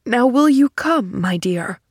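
A woman reading the part of a lonely, earnest older man in an audiobook, halting and easily flustered. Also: on the high side, expressive, slightly rough, dry recording, studio quality, voice pushed up, heavily acted. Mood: wistful, tender. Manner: halting, pleading. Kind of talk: audiobook character acting.

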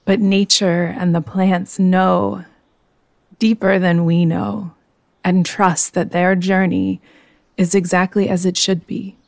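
A woman guiding a yoga nidra meditation in a soothing, gentle, unhurried manner. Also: on the low side, level tone, creaky, no echo. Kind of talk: guided meditation.

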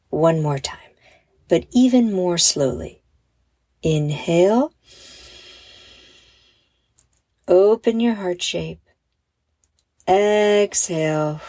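Female meditation guide for kids: lowish, unhurried, gentle and encouraging, recorded close and dry. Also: slightly rough, no room sound. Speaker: host and meditation guide for children. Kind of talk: guided meditation, scripted narration.

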